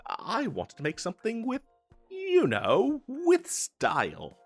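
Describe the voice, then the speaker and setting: A man's voice: sits high, huge pitch swings, slow, slightly rough, faint music behind, heavily acted. A man voicing an eager, boastful wizard salesman in a comedic fantasy audio roleplay, with theatrical emphasis and a stammering, hard-selling manner. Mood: exasperated. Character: eager, boastful male wizard salesman hawking magical wares. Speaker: street vendor character in an audio roleplay.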